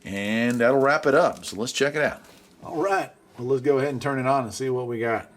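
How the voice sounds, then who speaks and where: A man's voice: medium pitch, fairly quick. A man giving a casual product review in a folksy, easygoing way.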